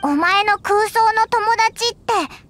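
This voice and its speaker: Squeaky, cheeky little fairy sidekick. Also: female voice, normal speed, fairly bright tone, soft background music. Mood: curious, eager. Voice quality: childlike, squeaky. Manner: brash boyish speech, exclamatory and nosy. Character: tiny chirpy floating fairy companion, cheeky and excitable. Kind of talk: anime game voice acting.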